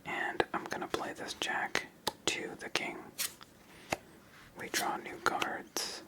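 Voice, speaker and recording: A person whispering gentle step-by-step ASMR commentary while playing a solitaire card game as host. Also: poor audio.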